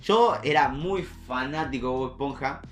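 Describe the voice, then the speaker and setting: A man's voice: medium pitch, moderate pace. Young Argentine man chatting over guitar music. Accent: Rioplatense Argentine. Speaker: podcast co-host. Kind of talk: casual podcast chat.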